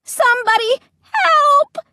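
A woman's voice: very high voice, animated, extremely slow, bright, forceful tone, no echo, studio quality, pitched above the performer's own voice, heavily acted. A woman voicing an anxious, skeptical child in a children's scary audiobook, emphatic and questioning. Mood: panicked.

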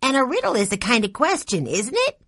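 A woman's voice: fairly high pitch, wildly swooping pitch, fairly quick, a bit bright, breathy edge, dry recording, clean studio sound, voice pushed up, heavily acted. A woman voicing an eager, cheeky little boy in a children's audiobook, piping and exclaiming. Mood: eager, playful.

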